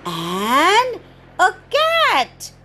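A woman with a high, very expressive voice that swoops up and down as she reads a picture book to children. She takes her time, in a slow, sing-song storytime manner, with faint noise in the background. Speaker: children's storyteller podcast host.

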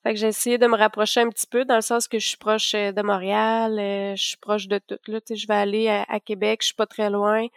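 A woman's voice: medium pitch, level tone, fairly quick, few pauses, clean tone, no room sound, clean studio sound. A woman in her late twenties with a Quebec French accent, chatting casually as a guest in an interview. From Rivière-du-Loup, Quebec, Canada. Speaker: podcast guest, angler and hunter.

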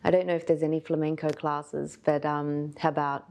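A woman in her thirties from Australia, a yoga teacher telling her life story as a podcast guest in a thoughtful, unhurried way. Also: deep voice, level tone, vocal fry, a little mellow. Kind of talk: reflective storytelling interview.

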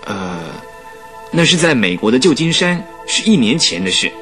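Pompous, affected young aristocrat. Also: male voice, mid-range voice, big pitch swings, moderate pace, fairly bright tone, faint music behind. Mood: earnest. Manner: affected, pompous, easily flustered. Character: vain, pompous young English aristocrat, good-natured underneath. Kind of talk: Chinese radio drama acting.

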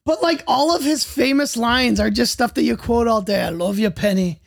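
A man's voice: very high voice, moderate pace, vocal fry. A man hosting a podcast, steering a casual discussion of a television show. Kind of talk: casual chat about a TV show.

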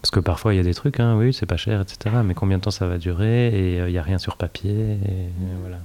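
A man with a very low, warm and mellow voice explains things in a measured way during a conversation. He hesitates often, filling pauses as he works through his points. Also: steady tone. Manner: measured, explanatory, full of fillers. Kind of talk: casual conversation.

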